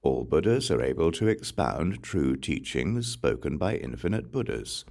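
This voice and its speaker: Very deep, gravelly male scripture reader. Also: very animated, darkish tone. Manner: measured, solemn recitation. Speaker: audiobook reader.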